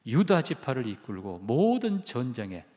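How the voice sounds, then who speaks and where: A man's voice: mid-range voice, sing-song, unhurried, mellow, in a large hall. A male pastor preaching a sermon, emphatic and putting questions to the congregation. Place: church sanctuary.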